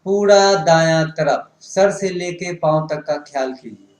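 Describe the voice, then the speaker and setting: Male yoga instructor, fairly high pitch, very unhurried delivery of short guided-meditation cues. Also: darkish tone. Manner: instructing in short, repetitive phrases. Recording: roomy, echoing sound.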